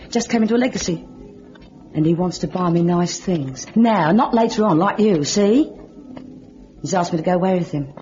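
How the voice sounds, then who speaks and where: A woman's voice: fairly low pitch, dark tone, natural voice. An actress playing a brash, coy and defiant young working-class woman lodger in old-time radio drama style. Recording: muffled, noisy old radio drama recording.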